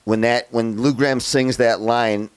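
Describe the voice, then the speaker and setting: A man from upstate New York giving a relaxed, chatty piano lesson, thinking out loud as he plays by ear. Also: medium pitch, measured pace, smooth. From Rochester area, New York, USA. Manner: relaxed and chatty, thinks aloud while playing. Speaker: piano tutor. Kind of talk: casual play-by-ear piano tutorial. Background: loud piano playing.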